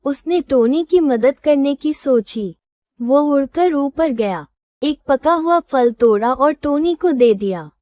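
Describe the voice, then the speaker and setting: A woman reading a scripted children's moral story as storyteller, in a measured, instructive manner. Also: high voice, animated, dark tone, no room sound.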